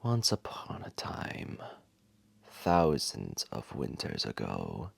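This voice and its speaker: Ancient male dragon: weary, imposing storyteller, gravelly and breathy, swooping intonation, close dry sound, audio roleplay. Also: sits low, dry recording, exaggerated voice. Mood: soothing, solemn. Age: old. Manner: grandiose, unhurried, dramatic pauses.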